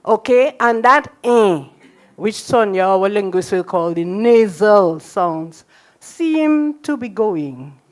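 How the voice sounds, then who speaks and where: An older woman giving an unscripted response at a public lecture, measured and encouraging. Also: medium pitch, animated.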